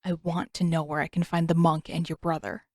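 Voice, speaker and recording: A woman voicing a scowling teenage prince in an audiobook, terse and flat in manner. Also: low voice, a little fast, dry recording, clean studio sound. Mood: demanding. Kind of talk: audiobook character acting.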